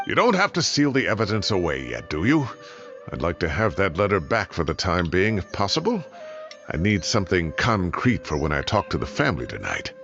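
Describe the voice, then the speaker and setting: Game role: indignant elderly ex-professor, expressive, blustery, gravelly, wildly varied pitch. Slightly dull capture. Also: male voice, brisk, over music, heavily acted. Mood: earnest. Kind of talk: video game voice acting.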